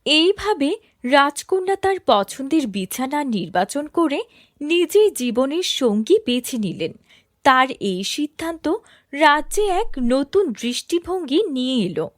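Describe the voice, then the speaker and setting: A woman narrating a cartoon fairy tale in a smooth, even, scripted storytelling manner. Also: high voice, unhurried, no room sound, studio quality.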